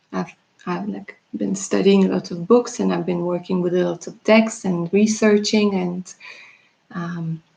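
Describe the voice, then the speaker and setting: A woman's voice: mid-range voice, vocal fry, darkish tone. A Russian-born woman, a native Russian speaker, who works as a tarot reader and chats with viewers on a live stream. She laughs often and calls them darling.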